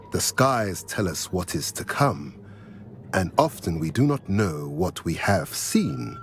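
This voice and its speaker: A solemn, courteous centaur seer from a fantasy game. He speaks slowly and cryptically in a fairly low voice with sweeping intonation, formal and measured throughout. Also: vocal fry, heavily acted. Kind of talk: video game cutscene acting.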